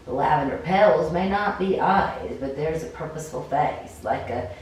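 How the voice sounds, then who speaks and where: Low-voiced woman reading in a very echoey room. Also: moderate pace, darkish tone. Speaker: player reading a story game. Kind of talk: cold read of scripted fantasy narration. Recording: very echoey room, distant mic, faint noise.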